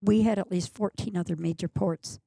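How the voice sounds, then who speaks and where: A woman's voice: fairly low pitch, fairly even intonation, moderate pace, gravelly, dark tone, no room sound. A woman guest on a podcast speaking in a matter-of-fact way and firmly correcting common myths. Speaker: genealogy society guest. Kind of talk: casual podcast conversation.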